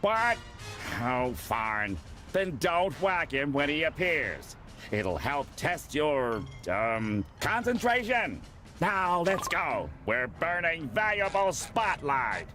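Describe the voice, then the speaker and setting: An actor playing a pompous, impatient, bossy film director villain in an animated video game. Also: male voice, fairly high pitch, slow pace, over music. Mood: impatient, grudging. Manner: bossy, self-important, sarcastic.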